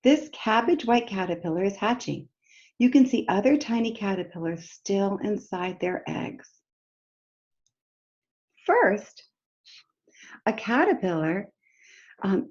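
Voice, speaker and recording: A woman reads a nonfiction picture book to young children at a slow, measured pace, in a medium-pitched voice. She breaks off to explain things in simple words and puts questions straight to her listeners. The recording is slightly dull and lo-fi. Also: darkish tone. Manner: simple, instructive, addresses children directly with questions. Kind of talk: read-aloud story time lesson for young children, with explanatory asides.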